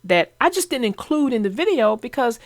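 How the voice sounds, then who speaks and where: A woman's voice: fairly high pitch, sing-song, a little fast, no room sound. A woman host who narrates children's books, chatting casually and expressively. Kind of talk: casual chat about a children's book.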